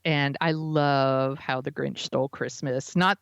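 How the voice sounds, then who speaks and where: A woman's voice: very deep voice, fairly even intonation, a little slow, creaky, clear, resonant tone, no echo, muffled recording. A woman co-hosting a podcast in casual chat, with an informal, enthusiastic manner. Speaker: podcast co-host.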